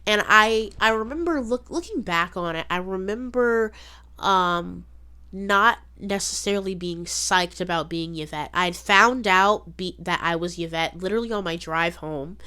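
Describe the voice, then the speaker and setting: A woman's voice: on the low side, measured pace, bright, forceful tone, clean tone. A young adult woman hosting a podcast solo, chatting casually and emphatically, often repeating words and saying "like". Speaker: podcast host.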